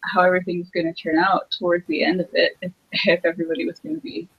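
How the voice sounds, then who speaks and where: Breathy woman, soft and giggly. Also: fairly low pitch, flat delivery, fast talker, lo-fi sound. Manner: soft-spoken, with giggly laughs. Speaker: guest reviewer. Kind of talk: casual conversational interview.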